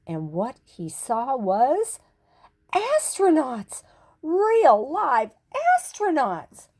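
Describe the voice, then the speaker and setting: An older woman narrating a children's picture book expressively, with deliberate dramatic emphasis and pauses. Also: sits high, a little mellow. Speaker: storyteller reading to children.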